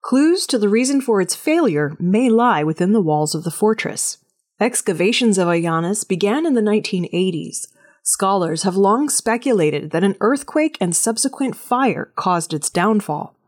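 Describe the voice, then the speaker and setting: Lady newsreader doing a scripted news report, medium pitched voice. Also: expressive, normal speed, a little mellow. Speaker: news reader. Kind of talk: scripted audio news narration.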